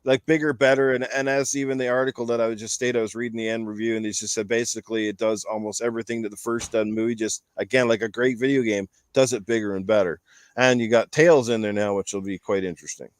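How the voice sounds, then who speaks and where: A man's voice: fairly low pitch, fairly even intonation, quick pace, clean tone, no echo. Opinionated man chatting, close dry sound. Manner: rambling, opinionated, emphatic repetition. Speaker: podcast panel host. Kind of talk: casual opinion chat about games and films.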